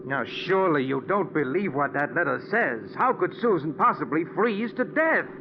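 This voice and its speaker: An actor playing a skeptical, anguished middle-aged father in a 1940s radio suspense drama, with theatrical, emphatic delivery that turns halting when he is shaken. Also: fairly high pitch, animated, background noise. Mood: scornful disbelief. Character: skeptical, anguished father, stubborn and easily agitated. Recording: muffled vintage 1940s radio recording.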